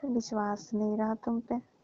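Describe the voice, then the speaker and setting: Woman acting coaxing mellow-voiced auntie. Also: on the high side, flat delivery, a little slow, lightly acted. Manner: coaxing, persuasive. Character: coaxing, teasing middle-aged married auntie. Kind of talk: staged comic phone call. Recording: dull, dry lo-fi phone recording.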